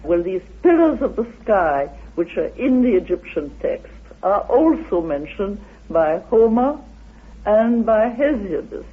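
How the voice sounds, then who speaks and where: Male scholar for interview, high husky voice, deliberate halting delivery that turns declamatory, thin old radio sound. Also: very animated. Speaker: interviewed researcher of ancient myths. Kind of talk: lecture-like interview explanation. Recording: tinny phone-like old radio recording.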